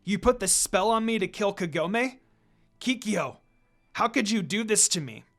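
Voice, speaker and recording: A man voicing a hot-headed young male half-demon in an anime fan story, loud, aggrieved and confrontational over music. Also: high voice, animated, unhurried, creaky, pitched above the performer's own voice, exaggerated voice. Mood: betrayed, shouting.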